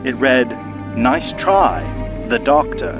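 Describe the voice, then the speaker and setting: A man's voice: mid-range voice, sing-song, takes it slowly. A man playing a stoic starship captain in a sci-fi audio drama, giving a clipped, formal military report over a radio transmission. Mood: grim, businesslike. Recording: dull radio transmission, music behind.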